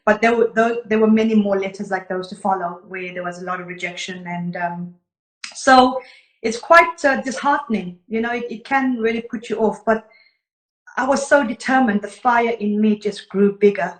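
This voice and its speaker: A woman's voice: medium pitch, moderate pace. A woman, a guest singer telling her story earnestly in a casual live interview. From Zimbabwe. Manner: warm, earnest storytelling, greets viewers between answers. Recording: echoey room.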